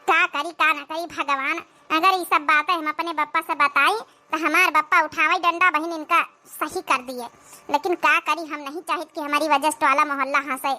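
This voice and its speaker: A woman's voice: high-pitched, steady tone, normal speed, sharp tone. A woman with an Awadhi accent voicing a long-suffering, dutiful young village stepdaughter in a comic cartoon. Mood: weary, aggrieved. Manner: plaintive.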